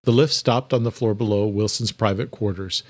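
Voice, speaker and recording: A man's voice: fairly low pitch, fairly even intonation, normal speed, darkish tone, no room sound. A man giving scripted, dramatic narration of an audiobook.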